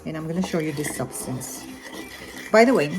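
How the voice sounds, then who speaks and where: A low-voiced woman with an Armenian accent talks her way through a home cooking video, loose and chatty, full of fillers and restarts. Her native language is Armenian. Loud music plays behind her in the kitchen. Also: expressive, normal speed. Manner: chatty, rambling, self-correcting with fillers. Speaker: cooking vlogger. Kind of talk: casual cooking vlog.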